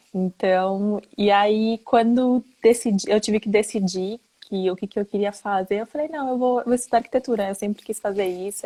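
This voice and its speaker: A woman from Brazil talks as an architect guest in a relaxed online chat. Her voice sits at a middle pitch with a warm, dark edge. She explains things earnestly and conversationally. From Brazil, grew up there. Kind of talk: casual live online chat.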